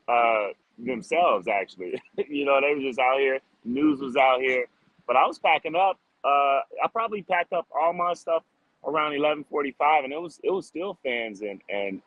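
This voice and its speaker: A man's voice: medium pitch, fairly bright tone, dry recording, rough recording. Easygoing, informal man with a dry close sound. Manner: upbeat, informal, peppers speech with like and man. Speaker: street drummer guest. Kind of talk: casual interview answers.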